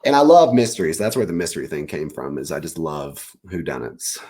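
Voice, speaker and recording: A man talking casually as an author guest in an interview, animated and rambling as he pieces ideas together aloud. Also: very deep voice, fairly quick, slightly rough. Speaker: children's book author, guest. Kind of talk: casual interview answers about writing.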